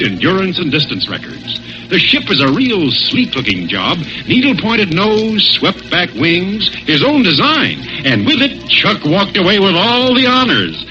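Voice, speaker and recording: Lively high-pitched 1940s radio announcer man. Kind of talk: 1940s radio announcing, dramatic scripted narration. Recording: dull old radio broadcast recording.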